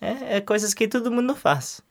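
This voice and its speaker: Young man, halting speech. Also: very high voice, animated. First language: English. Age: young adult. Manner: hesitant, searching for words in a second language. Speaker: interview guest. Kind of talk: casual interview answers.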